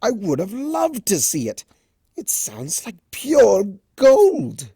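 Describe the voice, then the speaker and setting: A performer, a man, voicing a flamboyant, teasing male chimera trickster from a cartoon fan story, with theatrical drawn-out emphasis. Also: mid-range voice, huge pitch swings, mellow, slightly rough, no room sound, clean studio sound, pitched above the performer's own voice, exaggerated voice. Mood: playful teasing.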